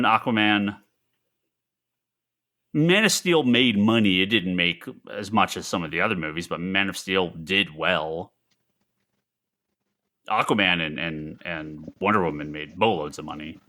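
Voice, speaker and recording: A man's voice: deep voice, sharp tone. A man chatting casually as a podcast co-host. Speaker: podcast co-host, comic shop retailer. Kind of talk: casual panel chat.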